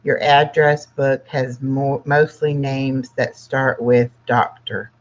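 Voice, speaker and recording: Sixty-year-old livestream host, slow pace, halting plainspoken delivery, casual chat and read-aloud, dull lo-fi sound. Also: medium pitch, flat delivery.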